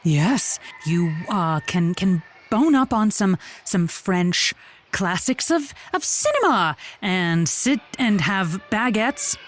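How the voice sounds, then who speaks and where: A woman's voice: medium pitch, sing-song, slow pace, slight background noise. A woman playing an upbeat, encouraging advice host on an improvised comedy call-in show. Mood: enthusiastic, whimsical. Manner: effusive, encouraging.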